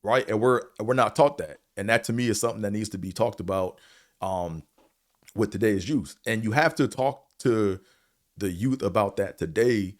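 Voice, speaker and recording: A man with a deep, low voice delivers a casual opinion monologue as a show host. He talks bluntly and swears freely, wandering between points with a slight stutter and filling gaps with "man" and "you know". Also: normal speed. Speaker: show host reviewing a series.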